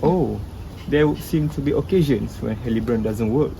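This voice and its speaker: A performer playing a solicitous family doctor in a stage play, speaking in a measured, formal, theatrical way. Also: mid-range voice, gravelly, dark tone, faint music behind, natural voice, rough recording. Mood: concerned. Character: solicitous family doctor, old friend of the bereaved.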